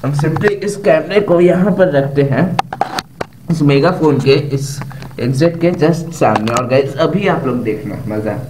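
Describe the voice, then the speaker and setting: A man's voice: fairly high pitch, a little slow, noisy background. An Indian man giving a casual hands-on DIY demonstration to viewers, mixing English words into his speech, recorded on a camera's built-in mic. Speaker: DIY tutorial host. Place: indoor room, sitting on a bare floor. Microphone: camera's own built-in microphone.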